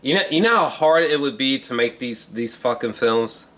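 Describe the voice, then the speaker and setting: A man with an average-pitched voice talks through a casual film review. He rambles and hunts for words, often repeating a phrase. His wording is slangy and profane, with a fan's emphatic way of driving points home. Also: lively intonation, sharp tone. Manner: halting, searching for words, repeats himself; emphatic, fannish.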